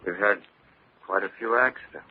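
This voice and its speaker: An actor playing a gruff, blunt working-class crew boss in an old radio drama, with a husky voice and slangy talk. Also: male voice, fairly low pitch, normal speed, slight background noise, close to an everyday voice. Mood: gruff, wary. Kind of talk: 1940s radio acting. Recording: muffled old radio recording.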